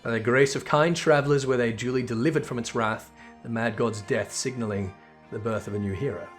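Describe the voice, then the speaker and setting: A man's voice: mid-range voice, fairly even intonation, brisk, soft background music, close to an everyday voice. A man playing a solemn storyteller, narrating a fantasy game saga like a storybook.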